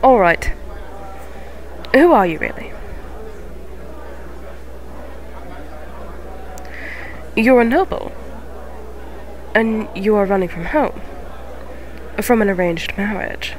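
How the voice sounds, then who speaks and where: Woman playing a slow, gentle young witch tavern keeper. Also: medium pitch, animated, a little hiss or hum, lightly acted, low-quality recording. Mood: probing, sympathetic. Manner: soft-spoken, formal, unhurried phrasing. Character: gentle eighteen-year-old neko witch tavern keeper, motherly, polite. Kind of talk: ASMR fantasy roleplay.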